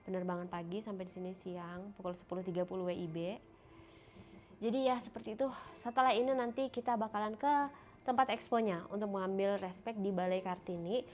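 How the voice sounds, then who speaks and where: A woman's voice: mid-range voice, fairly even intonation, fairly quick, slight background noise. A young woman with a nasal, congested voice from a cold, chatting casually to viewers while filming a hotel room tour on a handheld phone. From Indonesia. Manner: chatty, talking to viewers, pointing things out. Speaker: vlogger. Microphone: handheld phone camera.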